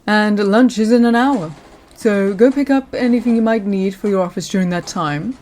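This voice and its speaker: A woman playing a courteous senior lawyer in an audio roleplay, speaking in a polite, measured, professional way to the listener. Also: mid-range voice, a little mellow, natural voice. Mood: polite, businesslike. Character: courteous senior female lawyer showing a newcomer around.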